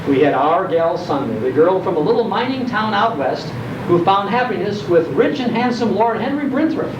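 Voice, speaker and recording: An older American man telling nostalgic stories to a live audience in a folksy way, with theatrical pauses and emphasis. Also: sits high, lively intonation. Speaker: guest speaker on old-time radio. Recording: roomy, distant, noisy, muffled live recording.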